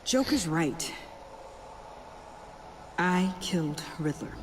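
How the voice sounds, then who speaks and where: An actress playing a shaken, defensive young woman in a video game, speaking in a halting, emotional way. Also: on the low side, animated, noisy background. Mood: defensive guilt.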